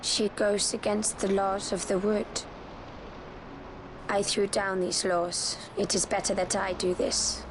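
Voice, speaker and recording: A woman playing a solemn exiled warrior of a woodland race in a fantasy game, stern and measured with archaic phrasing. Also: mid-range voice, little pitch movement, background noise, close to an everyday voice. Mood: resigned. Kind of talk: dramatic fantasy game acting. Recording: loud orchestral music behind. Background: game soundtrack music.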